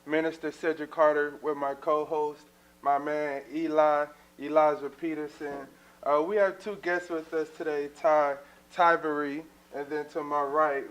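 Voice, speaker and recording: A young man hosting a church talk show, his voice sitting a little high for a man. He talks earnestly and leans on plenty of "uh" and "um" between thoughts. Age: 20s. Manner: earnest, many fillers. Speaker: talk show host and minister.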